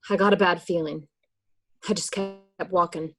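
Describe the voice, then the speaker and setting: A woman's voice: fairly low pitch, fairly even intonation, normal speed, faint music behind. A woman acting a frightened, distrustful mobster's wife and mother in an amateur radio play, emotional and insistent. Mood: uneasy.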